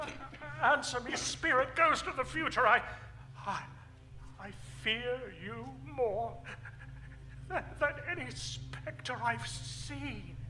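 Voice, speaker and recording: A terrified old miser in a staged radio play. He pleads in a high, very sharp voice, stammering and drawing his words out slowly. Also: exaggerated voice, rough recording. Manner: stammering, halting, theatrical. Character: frightened, repentant old male miser, pleading. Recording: live stage recording, faint noise.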